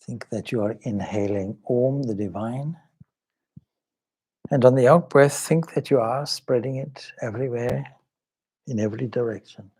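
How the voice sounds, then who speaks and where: A man leading a guided meditation as a swami, speaking calmly and reverently in measured short phrases with pauses. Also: medium pitch, slightly rough. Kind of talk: guided meditation with some Sanskrit chanting.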